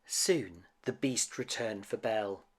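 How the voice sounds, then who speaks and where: A man reading a picture book aloud to children as an expressive, dramatic storyteller. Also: lowish voice, slow pace, husky. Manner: dramatic, with emphatic swells and hushed drops.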